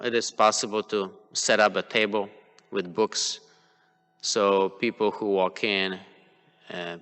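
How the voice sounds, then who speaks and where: A man's voice: fairly low pitch, pushed and bright, clean tone. A man whose first language is Polish gives a devotional class, speaking hesitantly with many fillers and restarts. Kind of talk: devotional class talk, personal examples. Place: temple hall. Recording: long hall reverb.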